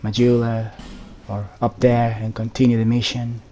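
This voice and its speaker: A man giving casual, low-key gameplay commentary in muttered asides, as a player does while playing. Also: completely flat delivery, moderate pace, dark tone. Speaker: video game player commentating. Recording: background noise, game ambience. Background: game sounds.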